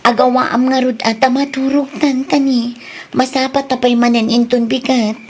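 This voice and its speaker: A woman with a Filipino accent playing an affectionate, gently nagging young wife in a radio drama. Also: high-pitched, little pitch movement, normal speed, a little hiss or hum, lightly acted.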